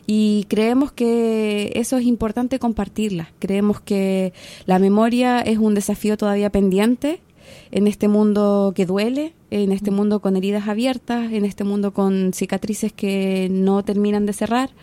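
A Chilean woman in her thirties speaks as a guest on a podcast. Her voice is mellow and low in brightness. She talks in an earnest, measured way. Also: fairly even intonation, no echo. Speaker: guest, researcher. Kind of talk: podcast interview.

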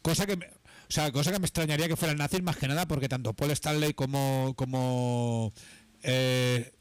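Male co-host from Catalonia, mid pitch, dry studio, measured and agreeable. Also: no room sound. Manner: measured, agreeable, explains at length. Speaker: radio show co-host. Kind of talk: casual radio chat. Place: radio studio.

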